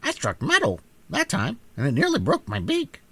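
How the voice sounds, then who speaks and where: A man voicing a chatty, self-assured talking hen in a storybook reading, matter-of-fact and quick to retort. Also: fairly high pitch, wildly swooping pitch, sharp tone, husky, no room sound, pitched above the performer's own voice, heavily acted. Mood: indignant, triumphant. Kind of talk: storybook character voice in audiobook reading.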